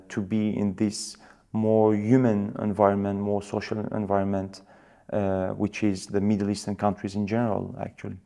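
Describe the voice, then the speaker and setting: A young man from France, whose mother tongue is Suret, giving thoughtful interview answers and often pausing to search for words. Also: lowish voice, mellow. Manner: reflective, searching for words with many fillers. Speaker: guest, founder.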